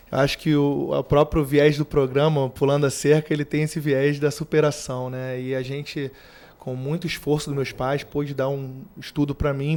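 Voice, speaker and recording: A man in his thirties with a Rio de Janeiro accent, a fire brigade commander answering interview questions in an earnest, measured way. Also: on the high side, darkish tone. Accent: Brazilian Portuguese from Rio de Janeiro. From Rio de Janeiro, Brazil. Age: 30s. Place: university TV studio.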